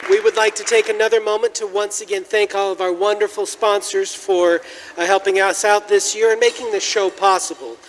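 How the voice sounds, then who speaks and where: Male stage host, high voice, joking announcement style, live audience behind. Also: fairly even intonation, fairly quick, some chatter behind. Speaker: host thanking sponsors. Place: church venue, live show. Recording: live hall with audience and noise.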